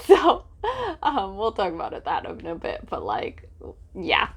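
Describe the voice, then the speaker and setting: A woman's voice: mid-range voice, a bit bright. A woman talking casually as a book vlogger, informal and gushing, with lots of filler asides. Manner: informal, hesitant with frequent "like" asides, gushing emphasis. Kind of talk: casual chatty book vlog.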